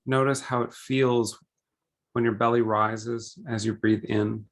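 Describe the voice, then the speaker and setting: A man leading a guided meditation, speaking gently and deliberately in short phrases with pauses between them. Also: mid-range voice, flat delivery, gravelly.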